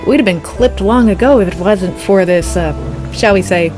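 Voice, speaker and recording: A woman's voice: medium pitch, normal speed, over music, natural voice. A woman playing a jaded, tipsy reindeer in a comedic audio play, world-weary and dryly pedantic as she gripes. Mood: bitter, dry. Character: jaded, tipsy, grumbling female-voiced reindeer drinking at a bar.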